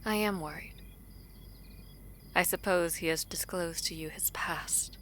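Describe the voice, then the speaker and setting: A woman's voice: fairly low pitch, steady tone, a little slow, a bit bright, a little hiss or hum. A woman playing a guarded, protective royal in an audio drama, with a grave, stately and formal delivery. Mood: wary, probing. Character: guarded, regal imperial sibling, protective of a younger brother.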